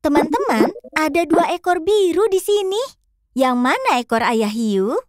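A woman narrates a children's cartoon in a high, very lively voice. She speaks slowly in a sing-song way, as if guiding small children through a game. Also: no echo, clean studio sound. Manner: sing-song, addressing young viewers. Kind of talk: scripted narration for young children.

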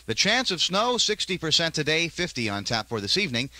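A man's voice: a little high, a little fast, no echo, rough recording. A man working as a morning DJ on a 1970s country radio show, with playful, joking patter and forecasts. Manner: joking, playful deadpan bits. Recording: 1978 AM radio aircheck.

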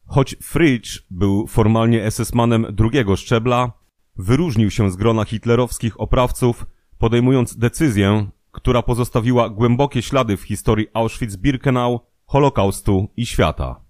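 A man's voice: mid-range voice, normal speed, darkish tone, no echo. Man narrating in a dry, close studio sound. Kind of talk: scripted documentary narration.

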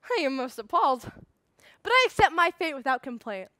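A woman's voice: sits high, very animated, fairly quick, sharp tone, no echo, exaggerated voice. A woman performing a stage monologue as an indignant, bluffing schoolboy, speaking in a pompous, emphatic, theatrical way. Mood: grandiose, appalled. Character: indignant, bluffing schoolboy giving an unprepared oral report.

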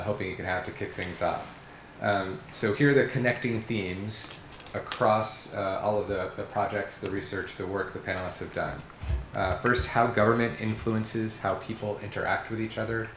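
A middle-aged man runs a student panel in a university seminar room. His voice sits a little low and carries echo from the room, with faint background hiss. He talks in a friendly, organized way, with plenty of "uh" fillers. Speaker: panel facilitator. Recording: echoey room.

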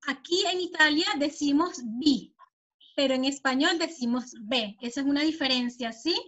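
A woman's voice: sits high, pushed and bright. A woman with a Venezuelan accent teaching an online Spanish lesson, explaining patiently and checking that the students follow. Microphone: computer mic on a video call. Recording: muffled video call audio.

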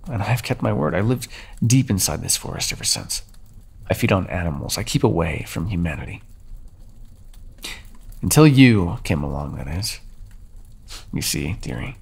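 A man playing a brooding, menacing vampire in an ASMR roleplay, speaking slowly and deliberately with theatrical intimacy. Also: deep voice, exaggerated voice. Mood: menacing, possessive. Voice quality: husky, gravelly. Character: brooding, menacing male vampire, remorseful yet predatory. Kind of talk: ASMR roleplay monologue, scripted dramatic narration.

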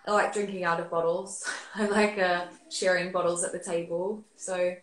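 A woman's voice: fairly low pitch, level tone, moderate pace. Woman, 30s, from Australia, guest expert, casual video call, roomy webcam sound. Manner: chatty, many fillers and restarts. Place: living room at home. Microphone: laptop webcam on video call.

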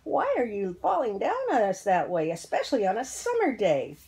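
A woman voicing squabbling little children in a storybook reading, exclaiming loudly and excitably. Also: mid-range voice, moderate pace, creaky, pitched above the performer's own voice. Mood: surprised. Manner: loud, exclaiming.

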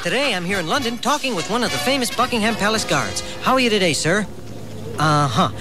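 Male ad presenter, lively, teasing man-on-the-street style, music clearly behind. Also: high-pitched, fairly quick. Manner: chatty, teasing. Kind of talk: mock street-interview commercial.

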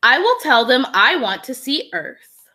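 An eager little girl from a storybook, read by a woman. She sounds earnest and wishful, with a bright, highish voice that rises and falls freely. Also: sing-song, a little slow, exaggerated voice. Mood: wishful, questioning. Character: little dreamy, eager schoolgirl.

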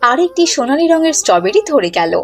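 A woman's voice: high-pitched, very animated, quick pace. A woman narrating a scripted children's cartoon story.

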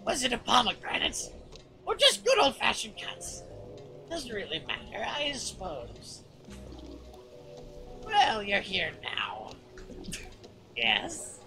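A man's voice: very high-pitched, huge pitch swings, slow, gravelly, pauses often, over music, pitched above the performer's own voice, heavily acted. A man voicing a blasé merchant from a video game in a theatrical, lilting, arch manner. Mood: arch, dismissive.

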